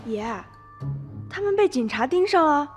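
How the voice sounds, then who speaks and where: Curious, very high-voiced grandchild. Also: female voice, very animated, moderate pace. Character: curious, eager young grandchild questioning grandpa. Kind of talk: Chinese radio drama acting. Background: music.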